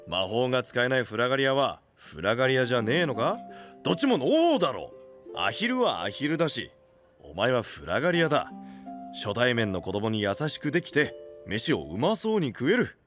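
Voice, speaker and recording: A rough-spoken young anime knight, blunt but big-hearted. His mid-pitched voice swings widely as he makes decisive, punchy statements, with music playing behind him. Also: very animated, normal speed, fairly bright tone. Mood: blunt, encouraging. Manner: rough, brash, punchy delivery. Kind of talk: anime voice drama acting.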